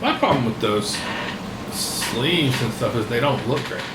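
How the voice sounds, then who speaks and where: A man in his forties chats in a blunt, informal way with a medium-pitched voice. The sound is quite echoey, with clear room noise behind him. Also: animated, unhurried. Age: 40s. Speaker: podcast host, tattoo artist. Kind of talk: casual conversational interviewing. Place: indoors in a tattoo shop. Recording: roomy, noisy air-conditioned room.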